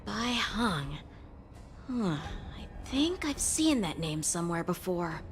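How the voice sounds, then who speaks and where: A woman's voice: on the low side, very animated, slow, faint music behind, exaggerated voice. A woman voicing a brash, impatient young boy in a video game, with a sassy, blunt manner. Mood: puzzled. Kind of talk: animated video game character acting.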